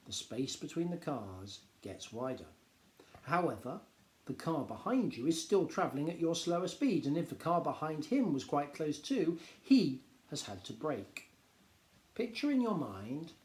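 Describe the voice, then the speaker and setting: A man reading a popular non-fiction book aloud like a teacher, explaining in a measured, expressive way. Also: fairly high pitch. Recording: echoey, roomy sound.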